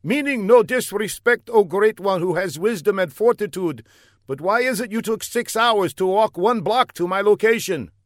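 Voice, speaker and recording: A man's voice: sits high, lively intonation, normal speed, no echo, clean studio sound, voice pushed up. A man voicing a flustered, fawning young bearded courier, speaking stilted, overly formal broken English in a raised voice. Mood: groveling.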